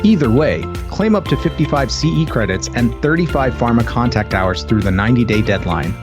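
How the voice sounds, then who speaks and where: A man hosting a podcast, reading an ad script and introducing his guest in a smooth, announcer-like way. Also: medium pitch, lively intonation, fairly quick. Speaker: podcast host. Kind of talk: scripted ad read and interview questions. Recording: music bed under the voice.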